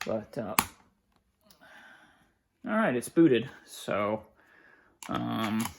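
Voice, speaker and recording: A man giving a casual, unscripted unboxing narration in a halting, informal way, thinking aloud as he goes. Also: medium pitch, sing-song, gravelly. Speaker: hobbyist collector presenting an unboxing. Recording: faint background noise, clicks of handling.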